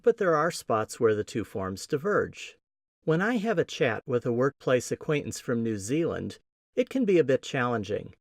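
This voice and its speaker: A man with a Midwestern American accent hosting a podcast, giving a scripted teaching talk in a measured, explanatory way with emphatic stresses. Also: mid-range voice, dry recording, clean studio sound. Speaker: podcast host.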